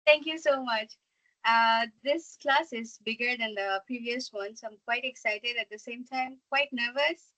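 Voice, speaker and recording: Bright-voiced young woman from Bangladesh. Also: a little high, measured pace, low-quality recording. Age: 20s. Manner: chatty, apologetic about tech trouble. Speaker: guest speaker. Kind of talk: online class talk. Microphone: video call.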